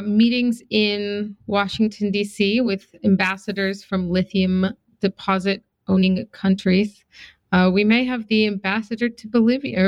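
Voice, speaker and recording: A woman's voice: mid-range voice, no room sound. Dry-humored woman co-hosting a podcast. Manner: brisk and teasing, dry humor. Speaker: podcast co-host. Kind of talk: casual podcast chat. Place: at home.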